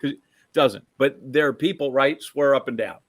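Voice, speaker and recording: A man's voice: medium pitch, normal speed, no room sound, studio quality. A man with an American accent, co-hosting with casual sports banter. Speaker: co-host.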